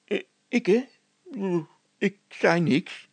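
A man's voice: high-pitched, slow, creaky, breathy edge, no room sound, voice pushed up, exaggerated voice. A man voicing a dim, stubborn workman who whines and stammers evasively in a storybook. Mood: flustered.

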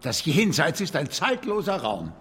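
An actor playing a proud, indignant older gentleman spiritualist in a radio drama, pompous and condescending. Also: fairly high pitch, huge pitch swings, normal speed, long reverb tail, background noise. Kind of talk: German radio drama acting.

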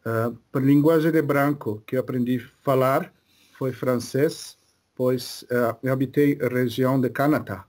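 A man channeling a slow-speaking spirit guide. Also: mid-range voice, fairly even intonation, a little mellow, natural voice. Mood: solemn. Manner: slow, broken phrasing with many pauses.

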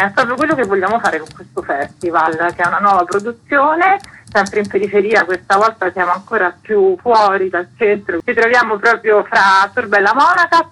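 A woman's voice: medium pitch, sharp tone, husky, poor audio. A woman interviewed on radio as an artistic director, explaining an event in an enthusiastic, wordy way with emphatic repetition, over a telephone.

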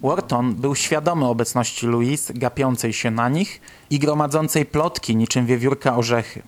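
A man's voice: mid-range voice, normal speed, soft background music. A man reading scripted, literary narration for an audio drama.

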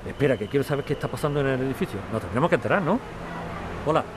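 A man's voice: mid-range voice, animated, racing, background noise, natural voice. A man playing a worried, conciliatory husband and son in a radio drama, speaking earnestly and explaining himself. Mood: concerned.

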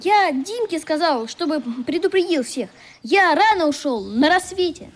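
A very high, lively boy's voice, frank and stubborn, with proud earnestness. It has a boyish, slightly defensive way of talking, as in a radio drama. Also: measured pace. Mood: earnest, defensive. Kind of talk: radio play acting.

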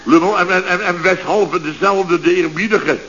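An actor playing a flustered middle-aged contractor boss in a radio comedy, stammering, exclaiming and turning pompously polite. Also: male voice, high-pitched, moderate pace, fairly bright tone. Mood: exasperated. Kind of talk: Dutch radio comedy acting. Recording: dull, noisy old radio recording.

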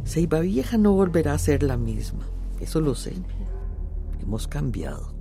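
A woman's voice: deep voice, very animated, music in the background. An actress playing a reflective, uneasy Central American village woman in a radio drama. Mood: anxious, wistful. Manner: introspective, slow.

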